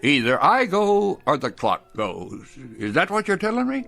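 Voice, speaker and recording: A man's voice: high-pitched, huge pitch swings, measured pace, vocal fry, a bit bright, faint music behind, heavily acted. An older man acting in a television drama as a stubborn, sly retired clockmaker with a wry, evasive way of talking. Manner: wry, evasive, answers questions with questions.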